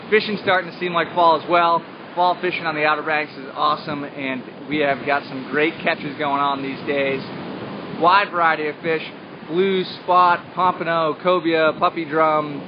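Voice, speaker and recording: A man in his thirties cheerfully giving a morning beach report to a phone held at arm's length, outdoors on a sunny beach. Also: fairly high pitch. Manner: cheerful and easygoing, listing conditions. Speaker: vacation rental host giving beach report. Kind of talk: upbeat casual report to camera. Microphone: phone camera at arm's length. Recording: dull lo-fi phone audio with wind and surf noise.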